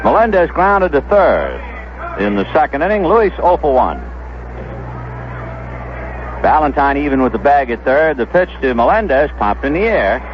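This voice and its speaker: A man's voice: a little high, sing-song, moderate pace, pauses often, highs cut off, slight background noise. A man calling baseball play-by-play as a radio announcer, on an old 1970s broadcast. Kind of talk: radio sports commentary.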